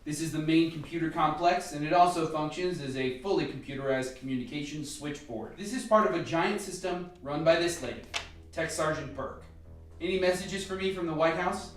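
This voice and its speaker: A man's voice: on the high side, a little fast, faint music behind, natural voice. A man playing a businesslike doctor who leads a laboratory team in a radio play. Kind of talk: dramatized radio play acting. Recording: very echoey, distant from the mic.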